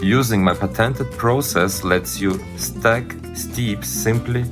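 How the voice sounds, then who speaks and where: A man reads a polished sponsor spot with a smooth, selling delivery. His voice sits a little low. Clear guitar music plays under him throughout. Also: slow. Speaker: inventor in a sponsor ad. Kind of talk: scripted ad read.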